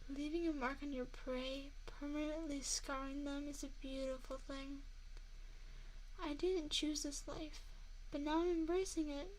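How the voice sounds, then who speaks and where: A woman's voice: high voice, a little mellow, poor audio. A woman voicing a seductive, menacing female vampire in an intimate ASMR roleplay, mocking and taunting a single listener up close. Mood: proud, ominous. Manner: teasing, taunting, intimate address to one listener. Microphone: close binaural 3Dio microphone. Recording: faint background noise, close binaural mic.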